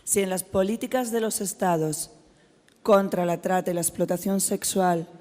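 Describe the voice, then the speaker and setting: Hoarse Spanish woman, impassioned. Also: medium pitch, normal speed, a little mellow. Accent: Spanish (Spain). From Spain. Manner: impassioned, repeated rhetorical questions. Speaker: forum participant. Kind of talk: spoken remarks at a panel forum. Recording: hall reverb in a large room.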